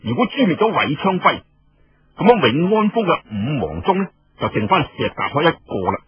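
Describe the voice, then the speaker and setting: A man's voice: a little high, very animated, moderate pace, husky, dull audio. A man with a Cantonese accent telling a story colloquially and dramatically, full of rhetorical questions and exclamations.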